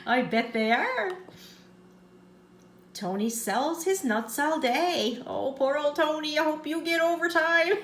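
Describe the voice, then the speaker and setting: A woman's voice: sits high, a bit bright. Giggling woman reading aloud. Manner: constant giggling, cracks up between lines; teasing asides to the pictures. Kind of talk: reading a picture book aloud with giggling asides. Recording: roomy, echoey sound.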